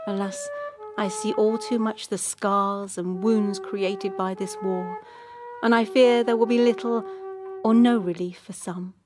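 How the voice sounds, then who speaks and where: A woman's voice: medium pitch, steady tone, unhurried, lightly acted. A woman acting a loving, wistful wife reading her soldier husband's letter aloud in a radio drama. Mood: tender, wistful. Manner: tender, wistful. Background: flute music.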